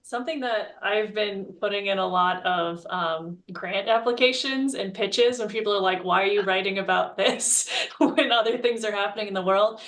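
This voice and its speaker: A woman's voice: medium pitch, moderate pace, fairly bright tone. A woman speaking as a writer in a casual panel conversation, explaining things conversationally.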